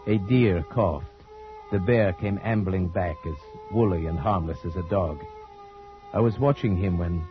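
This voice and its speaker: Low-voiced, uneasy radio suspense narrator. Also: male voice. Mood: hushed suspense. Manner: deliberate, dramatic first-person storytelling. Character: male hunter friend narrating a suspense tale, uneasy, loyal. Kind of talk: old-time radio acting, suspense narration. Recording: muffled old radio drama recording, music behind.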